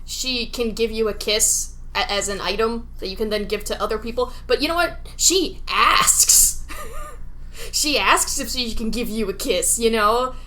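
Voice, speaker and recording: A woman's voice: fairly high pitch, a little slow, bright, forceful tone, low-quality recording. A woman chatting casually as a podcast co-host about a video game, often giggly as she talks. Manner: giggly, laughs readily while talking.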